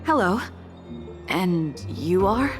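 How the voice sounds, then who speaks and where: An actress playing a stoic, dignified warrior widow in a video game, calm and restrained with deliberate pauses. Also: female voice, on the low side, over music, heavily acted. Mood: polite.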